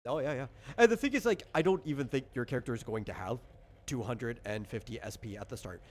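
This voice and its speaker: A man's voice: medium pitch, fast talker. A man working as a game master, casually explaining tabletop RPG rules in an informal, conversational way.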